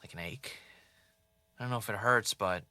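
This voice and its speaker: A man's voice: fairly low pitch, normal speed, sharp tone, dry recording. A man playing a former reality show contestant turned podcast host, haunted and defensive, in naturalistic audio drama dialogue. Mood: wistful, aching.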